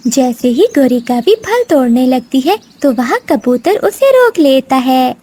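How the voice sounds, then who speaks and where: A woman's voice: high-pitched, sing-song, unhurried, mellow, breathy edge. A woman narrating a scripted children's bird story as the storyteller.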